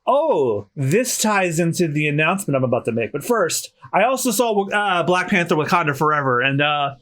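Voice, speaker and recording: A man hosting a casual podcast, chatty and slightly hoarse, often restarting his sentences. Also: fairly high pitch, big pitch swings, moderate pace, a bit bright. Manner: chatty, self-correcting restarts. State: slightly hoarse after dental work. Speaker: podcast host.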